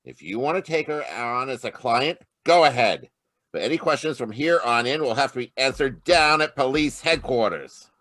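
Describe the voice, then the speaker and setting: Man to play a harried, blustering radio cop, mid-pitched and very animated, short fuse. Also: moderate pace, no echo, natural voice. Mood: blustering, irate. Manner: blustering, grumbling. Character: exasperated, blustering male police lieutenant, short-tempered. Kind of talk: old-time radio detective drama acting. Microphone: video call.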